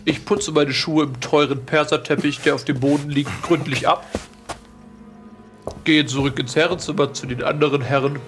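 A man's voice: fairly high pitch, fairly even intonation, moderate pace, over music, close to an everyday voice. A man playing a foppish, wealthy lord in an improvised audio drama, pompous, formal and condescending. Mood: smug. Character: smug, rich, foppish English lord.

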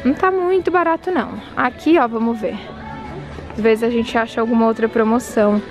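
A woman's voice: on the high side, brisk, over music, crowd noise. Chatty woman vlogging in a store. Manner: chatty, reads out prices, addresses viewers. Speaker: shopping vlogger. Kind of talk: casual walk-through vlog. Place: large department store, indoors.

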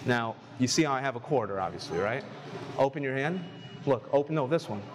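A man in his twenties talking casually as a close-up street magician, explaining and performing. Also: brisk. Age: 20s. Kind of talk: interview talk and casual performance patter. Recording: music underneath, subway train rumble.

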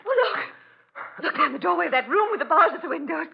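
An actress playing a devoted, anxious wife in a 1940s-style radio horror drama, expressive and dramatic, with a breathy, husky edge. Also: female voice, extremely high pitch, brisk, a little hiss or hum, exaggerated voice. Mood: horrified. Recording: muffled old radio recording.

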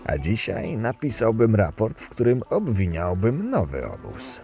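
A man's voice: fairly low pitch, huge pitch swings, a little slow, gravelly, dark tone, soft background music, exaggerated voice. A man acting a cool, cynical camp boss who narrates his story dryly in a fantasy audio drama. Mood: dry, unbothered. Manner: dry, detached, curt.

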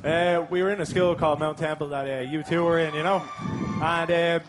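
A man's voice: a little high, fairly even intonation, a little fast, background noise. A man of twenty-one with an Irish accent, a boy band member talking confidently and boastfully, with many "you know" fillers, into a headset mic on a large TV studio stage. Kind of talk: eager interview and stage chat.

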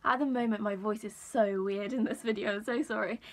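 A young woman vlogger with a high register and a croaky voice, chatting casually to camera in a small room, with lots of ums. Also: brisk. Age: young adult. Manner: chatty, many ums, relaxed storytelling.

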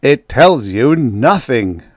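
Man doing a gruff male general, curt, barking, scornful, drawn-out disdainful words, dry close sound, story reading. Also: fairly low pitch, lively intonation, dry recording.

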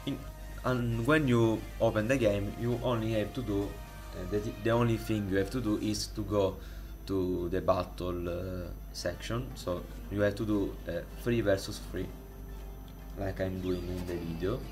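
Italian-accented man with a low voice. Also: steady tone, a little mellow, soft background music. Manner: halting, many fillers and restarts. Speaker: modding tutorial host. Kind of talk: casual screen-recorded tutorial. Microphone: desktop USB microphone.

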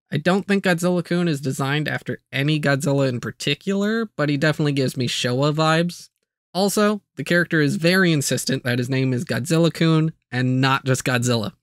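A man's voice: medium pitch, no room sound, studio quality. A man hosting a video channel, narrating a scripted overview with light, self-aware asides.

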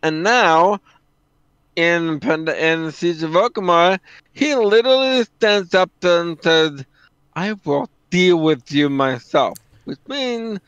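A man co-hosting a stream in casual chat, halting as he searches for words. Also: a little high, fairly bright tone, clear, resonant tone, dry recording, lo-fi sound. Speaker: stream co-host.

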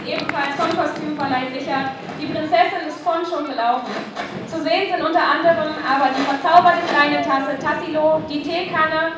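A woman announcing a parade outdoors, reading from notes in a welcoming tone with occasional slips. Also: high voice. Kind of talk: reading announcements over loudspeaker. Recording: distant PA echoing down a street. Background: crowd noise and passing vehicles.

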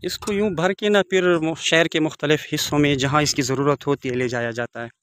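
A man's voice: fairly high pitch, fairly even intonation, a little fast, a bit bright, dry recording. A man in his forties walking outdoors and narrating a village vlog into his phone, speaking formally and devoutly with Arabic blessings. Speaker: village vlogger. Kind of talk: walking tour commentary. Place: outdoors in open riverbed countryside. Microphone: phone camera held by the speaker.

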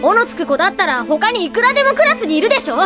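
A woman playing an excitable, dramatic high school girl in an anime audio drama, exclaiming and pleading. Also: racing, loud music behind the voice. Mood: indignant.

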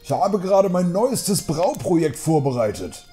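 A jovial older panda uncle, acted by a man for an audiobook. The voice is mellow and hearty, swinging widely in pitch, with soft music behind. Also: a little slow. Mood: cheerful. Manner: hearty, easygoing, expressive. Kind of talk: dramatised audiobook acting.

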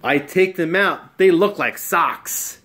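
A man's voice: on the high side, animated, slow, fairly bright tone. A man voicing an eager spotted animal in a storybook, boastful and pleading as it shows off. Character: eager spotted animal showing off magic tricks. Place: small bright room at home.

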